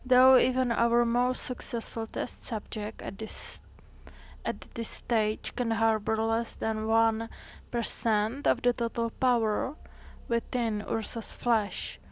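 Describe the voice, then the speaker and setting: A woman streamer reads game notes aloud at a slow, deliberate pace. Her voice sits a touch high and stays level. She now and then goes back over a word as she reads. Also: level tone. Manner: slow, careful reading with small restarts.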